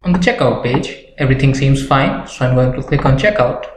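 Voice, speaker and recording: A young man reads a scripted software walkthrough at a middling pitch. The recording is roomy and echoey, with faint noise and some clicks behind him. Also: expressive, fairly quick. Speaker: software tutorial presenter. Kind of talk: scripted tutorial narration.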